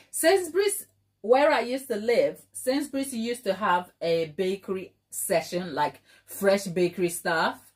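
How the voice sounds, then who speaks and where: Chatty Ghanaian woman hosting a livestream. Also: medium pitch. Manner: chatty, affectionate, playful, emphatic repetition. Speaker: baking livestream host. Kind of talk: casual live chat with viewers.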